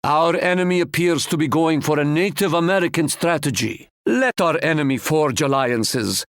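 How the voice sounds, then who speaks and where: A man's voice: a little high, no room sound, studio quality, natural voice. An actor voicing a proud, stately Ottoman sultan in a video game, speaking formally and quoting proverbs. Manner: formal, measured, fond of proverbs.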